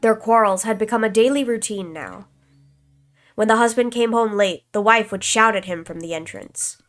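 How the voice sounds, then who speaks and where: A woman streamer with a stuffy nose and a slight cough, reading a visual novel aloud in a calm, even way, with casual asides to her chat. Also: medium pitch, moderate pace, faint music behind.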